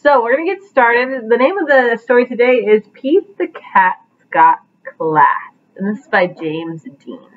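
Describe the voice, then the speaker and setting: A woman's voice: on the high side, expressive, measured pace, narrow, tinny audio. A young adult woman reading a picture book aloud to children as a teacher, in a living room at home.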